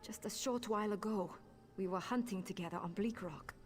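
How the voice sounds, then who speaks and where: An actress playing an exhausted, grieving refugee hunter in video game dialogue. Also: female voice, mid-range voice, brisk, lightly acted. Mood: grieving, weary. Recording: quiet, faintly noisy game capture.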